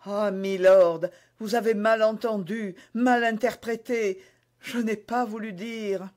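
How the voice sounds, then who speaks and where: A man's voice: sits high, fairly even intonation, slow pace, a little mellow, voice pushed up. A man voicing an anguished, dignified queen torn by forbidden love, agitated and imploring, in a historical romance audiobook. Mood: troubled reproach.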